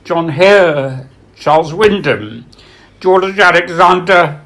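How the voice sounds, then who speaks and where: A man reading literary biography aloud as its author, in a solemn, measured way with dramatic pauses. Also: sits high, animated, a bit bright. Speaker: author reading from own book.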